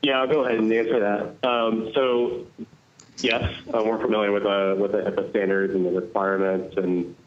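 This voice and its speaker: A man's voice: lowish voice, level tone, moderate pace, slight background noise. A man consultant calling in to a meeting, explaining audit work steadily with many ums. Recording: tinny phone line.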